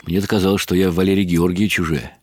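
A man's voice: deep voice, flat delivery, fast talker, darkish tone, slight background noise, close to an everyday voice. An actor playing a weary, wry middle-aged writer who narrates his own story in ironic inner monologue for a radio drama. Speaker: narrator and lead character.

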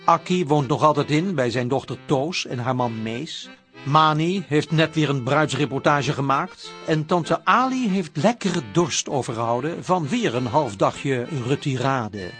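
An actor narrating a comic radio play as a wry storyteller. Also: male voice, on the high side, lively intonation, a little slow, fairly bright tone, faint music behind. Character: wry storyteller setting a café scene.

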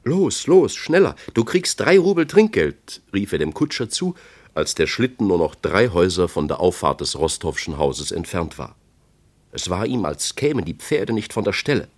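An actor as an expressive reader of a classic novel in a radio play, reading dramatically. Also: male voice, low-pitched, moderate pace, slightly rough, exaggerated voice. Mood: dramatic.

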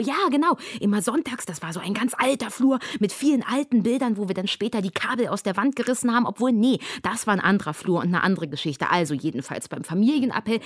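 A woman's voice: mid-range voice, dry recording, clean studio sound. A woman in her thirties from East Berlin performing a rambling, self-correcting monologue about her family. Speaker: performer narrating her own life. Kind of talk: scripted stage monologue.

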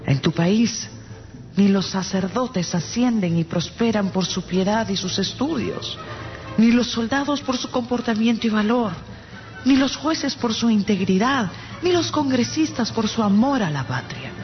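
Radio drama role: haughty, stern monarch, fairly high male voice, solemn declamation, music behind. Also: a little slow, dull audio. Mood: scornful, indignant. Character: stern, haughty monarch of giants condemning humankind. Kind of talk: theatrical radio drama acting.

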